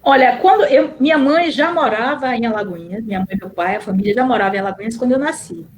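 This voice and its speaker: A middle-aged woman, a guest on an interview who talks warmly about her past with many small pauses. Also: fairly high pitch, animated. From Bahia, Brazil. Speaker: guest, nurse and city councillor. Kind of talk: casual interview chat.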